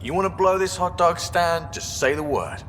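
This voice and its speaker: A man's voice: fairly high pitch, moderate pace, a bit bright, soft background music, natural voice. An actor playing a cheerful, cocky male spaceship crewman in a video game, casual and joking. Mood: playful, scheming. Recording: long reverb, faint ambient noise.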